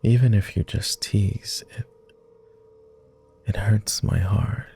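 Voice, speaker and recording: A very dark, mellow vampire lord played by a man, deep and heavy with gravelly fry. He speaks slowly and formally, tender and melancholy, as a clingy lover in an intimate roleplay ASMR. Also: steady tone, a little hiss or hum. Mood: earnest, tender. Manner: formal, old-fashioned wording, hesitant, tender.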